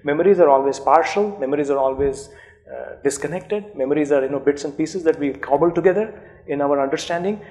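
A man's voice: on the high side, a little fast, darkish tone. A middle-aged man with an Indian accent, a native Urdu speaker, speaking thoughtfully as an author in an academic conversation, with many fillers and restarts. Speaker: author being interviewed. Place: seminar room, indoors. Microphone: handheld microphone.

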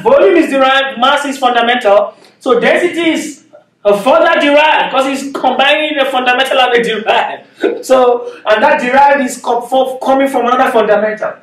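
A man's voice: extremely high pitch, fairly bright tone. A man in his thirties with a Nigerian accent, giving a physics lecture at a whiteboard and explaining step by step, often checking in with "okay?". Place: classroom with whiteboard, indoors. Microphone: far camera. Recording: echoey room, voice from a distance.

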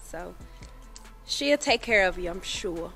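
A woman's voice: on the low side, expressive, slow pace, creaky, a bit bright, soft background music, heavily acted. A young woman with a Memphis Southern accent playing a sweet, polite customer in an outdoor comedy skit. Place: outdoors in a suburban driveway.

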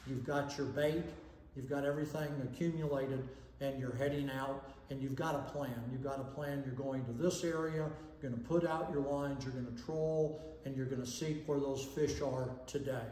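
An older man explains fishing tactics in a folksy, instructive manner. His voice sounds mellow. The recording is roomy, with a long reverb tail from the indoor space. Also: medium pitch, fairly even intonation, moderate pace, slight background noise. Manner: folksy, explanatory, checks in with "okay?". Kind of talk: instructional talk to camera. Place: indoor room with fireplace and banner. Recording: echoey room, long reverb.

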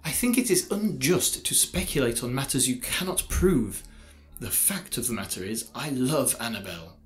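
A man playing a formal, guarded college teacher in an audio drama, choosing measured words. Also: mid-range voice, lively intonation, lightly acted. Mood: defensive. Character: male college science teacher, defensive, formal, secretive adulterer. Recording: music behind the voice.

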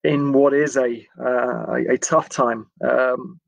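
A man in his forties with an English accent, talking informally on a livestream as a coach in a friendly, chatty, halting way. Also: medium pitch, fairly even intonation, creaky, no echo, rough recording. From England. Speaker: football coach giving a livestream talk. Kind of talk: informal live talk to camera. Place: indoors, small attic room.

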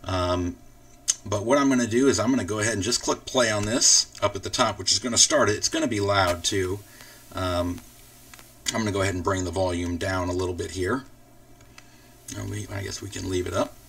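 A man with a fairly low voice talks through a software tutorial. He explains each step in an informal, casual way. He sounds set back from the microphone. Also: fairly even intonation, a little fast, creaky, recorded from a distance. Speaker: tutorial host. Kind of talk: casual screen-recorded software walkthrough.

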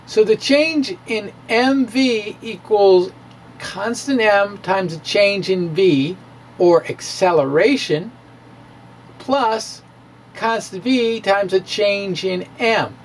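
A middle-aged man giving a dramatic, emphatic physics lecture to camera in a halting, theatrical delivery. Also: high-pitched, slight background noise. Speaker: science video blogger. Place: indoor room with bookshelves. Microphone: handheld-style microphone on a stand, close in front.

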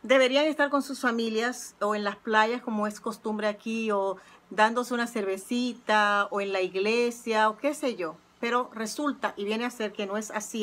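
A middle-aged woman speaks straight into a close phone camera from her living-room couch, in a slightly high voice. Her tone is earnest and reflective, and she often pauses with "eh" between thoughts. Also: a bit bright. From not Puerto Rico, living there twenty years. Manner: earnest, reflective, frequent "eh" fillers. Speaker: channel host. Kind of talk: casual heartfelt vlog monologue. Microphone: phone or webcam close up.

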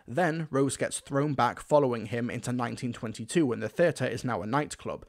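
A man's voice: mid-range voice, moderate pace, creaky. Young adult male reviewer, opinionated and chatty, mid-range voice. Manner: opinionated, conversational. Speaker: video reviewer. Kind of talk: scripted review commentary.

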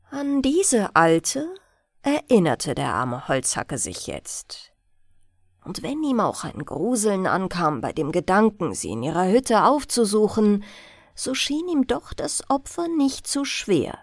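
Woman reading a bedtime fairy tale audiobook, slow n expressive, really dry close sound. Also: medium pitch, dry recording. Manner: deliberate, expressive storytelling. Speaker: audiobook narrator.

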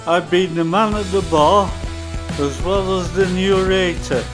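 A man's voice: high-pitched, unhurried. A man narrating a scripted amateur radio play. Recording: music playing loudly over the voice.

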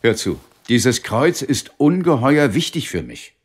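An actor playing an affable London police inspector and ghost hunter in a naturalistic radio play. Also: male voice, medium pitch, big pitch swings, measured pace, husky. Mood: evasive, affectionate.

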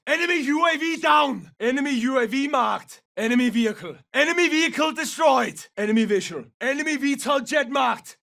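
An actor playing a gruff, battle-hungry special forces mercenary in a military game, giving clipped, forceful combat callouts in a gravelly voice. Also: male voice, very high voice, distant mic, exaggerated voice. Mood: urgent, shouting. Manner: clipped, forceful, barked short phrases.

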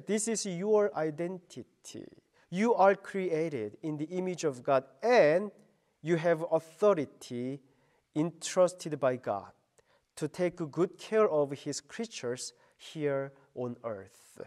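A middle-aged man delivers a short radio devotion in the patient, earnest manner of a pastor. His voice sits fairly high for a man. He speaks slowly and carefully, like a sermon. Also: lively intonation, a little mellow.

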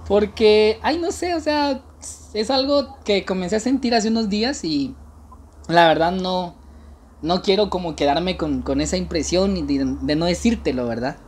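A man, speaking haltingly and coyly on a casual prank phone call. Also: high voice, lively intonation, slight background noise. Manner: hesitant, halting, coy. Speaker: prank caller and channel host. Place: small room indoors.

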